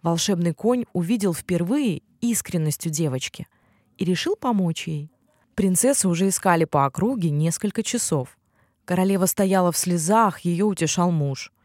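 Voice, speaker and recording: A woman narrating a children's fairy tale as an expressive storyteller. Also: lowish voice, a little slow, no echo, studio quality.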